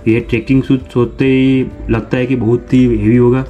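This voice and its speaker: A man's voice: mid-range voice, little pitch movement, normal speed, warm tone, lo-fi sound, faint music behind. Young man hosting a gear unboxing. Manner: repetitive, explanatory, addresses viewers as friends. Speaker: unboxing channel host. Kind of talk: product unboxing narration. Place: small room indoors.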